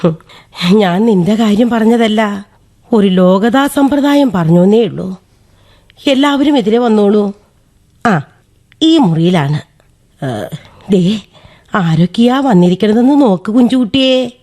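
A woman's voice: mid-range voice, expressive, slow, long pauses, mellow. A woman playing a plain-spoken, chiding old village great-aunt in a radio drama, chatty and busy. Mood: gently reproachful. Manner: chatty, proverb-quoting, mildly reproachful.

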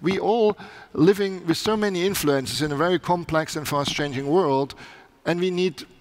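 A man's voice: a little high, moderate pace. Middle-aged man, earnest panel talk. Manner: earnest, with frequent restarts in non-native phrasing. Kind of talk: conference panel discussion. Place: large conference stage indoors. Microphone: headset mic.

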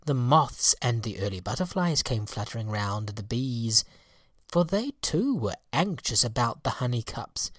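Very low-voiced woman reading a story. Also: sing-song, a little slow, dry recording, studio quality. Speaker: storybook reader. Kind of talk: scripted children's story narration.

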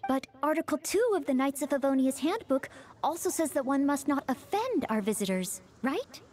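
Game role: polite young maid, high animated voice, formal and gentle, faint music behind. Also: female voice, normal speed. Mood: courteous, eager. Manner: formal, courteous, gentle, dutiful. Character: polite, earnest young maid devoted to serving guests. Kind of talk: scripted video game voice acting.

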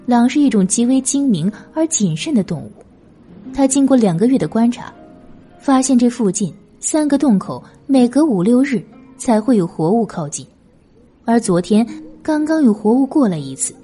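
A woman's voice: a little high, lively intonation, normal speed, mellow. A woman reading a historical novel as dramatic scripted audiobook narration. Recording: music behind the voice. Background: music.

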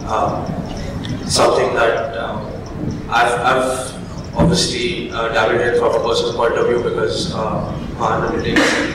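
A man moderating a conference panel, talking casually into a handheld microphone on a hall stage. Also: mid-range voice. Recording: echoey hall, distant mic, noisy.

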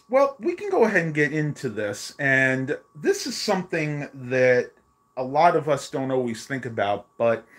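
A man chats through a home live stream, his voice worn and going hoarse. He rambles loosely, filling gaps with ums and uhs. The sound comes from a phone held at arm's length in a small bedroom. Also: mid-range voice. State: losing his voice. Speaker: vlogger. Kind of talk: casual live stream chat.

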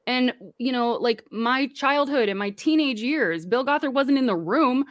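Impassioned deep-voiced woman, bright tone. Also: animated, a little slow, dry recording, studio quality. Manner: impassioned, speaks out forcefully. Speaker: guest. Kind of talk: livestream panel discussion.

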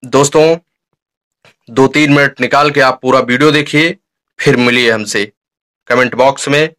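A man's voice: medium pitch, steady tone, moderate pace, fairly bright tone. A young man presenting a vlog introduction to camera as host, recorded indoors on a phone. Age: young adult. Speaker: YouTube vlogger, host. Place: dark room indoors.

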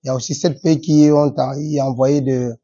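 A man in his forties with a Congolese French accent speaks in a formal, measured way, pausing often on "euh". His voice is very dark and husky, and the recording sounds dull and lo-fi. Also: mid-range voice, fairly even intonation. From Democratic Republic of the Congo. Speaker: politician guest. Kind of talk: interview answers.

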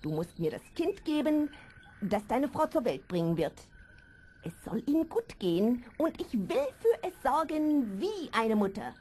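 A woman playing a stern, commanding sorceress in a fairy-tale audio play, with theatrical, drawn-out delivery. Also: on the high side, big pitch swings, dark tone, a little hiss or hum. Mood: imperious, bargaining. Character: stern, imperious, feared sorceress, adult female.

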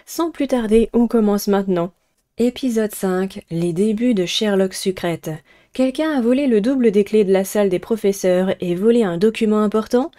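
A woman's voice: medium pitch, moderate pace, dark tone. A woman, narrating a video game playthrough and reading a visual novel aloud. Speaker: gaming streamer.

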